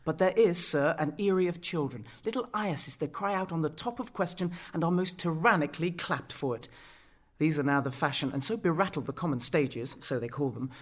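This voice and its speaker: A man's voice: a little high, a little fast, lightly acted. A man playing a deferential young courtier in a Shakespearean dramatic reading, explaining things courteously.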